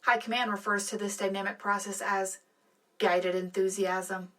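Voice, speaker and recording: Lady doing sci-fi propaganda news host, polished and formal, wryly upbeat, average pitch. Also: little pitch movement, normal speed, sharp tone, natural voice. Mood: proud, official. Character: polished, cheerfully loyal female propaganda radio anchor. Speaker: radio co-host. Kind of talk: scripted in-world news broadcast.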